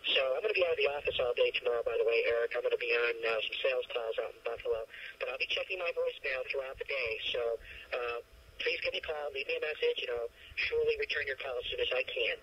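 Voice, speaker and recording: A man's voice: medium pitch, quick pace, slightly rough. Muffled male recruiter on an answering machine. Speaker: job recruiter. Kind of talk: businesslike voicemail message. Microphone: telephone message replayed through a speaker. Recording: dull, distant answering machine tape, hissy.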